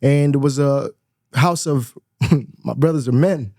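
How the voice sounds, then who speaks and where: A man in his thirties from Nigeria talks as a guest in a studio. His voice is warm and mellow, recorded dry and close. He is reflective and laughs easily, repeating words as he gathers his thoughts. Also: medium pitch, slow pace, no echo. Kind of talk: casual interview chat.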